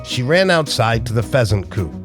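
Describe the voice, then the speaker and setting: A man's voice: mid-range voice, big pitch swings, moderate pace, music in the background. An older man with a playful, theatrical way of talking, hosting a storytime for children and reading aloud. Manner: playful, exaggerated, theatrical, addressing children directly. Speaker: children's entertainer, storytime host. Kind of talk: animated children's read-aloud and jokey intro.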